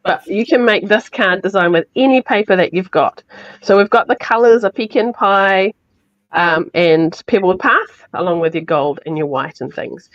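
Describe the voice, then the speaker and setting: A woman with a New Zealand accent, sounding croaky from illness, chatting through a live crafting tutorial step by step. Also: mid-range voice, normal speed, no echo, muffled recording. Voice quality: slightly hoarse. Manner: chatty, explains step by step with fillers. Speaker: craft demonstrator.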